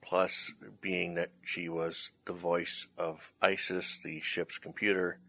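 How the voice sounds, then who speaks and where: A man hosting in a casual chat, talking in a halting, hesitant way. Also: low voice, level tone, vocal fry, pushed and bright, no echo. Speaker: host, writer-director of the play. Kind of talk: casual chat introducing bloopers.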